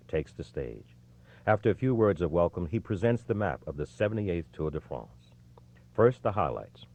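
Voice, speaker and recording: A man's voice: sits low, mellow. A man reading a nonfiction audiobook as a scripted narration. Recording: slightly dull, lo-fi audio.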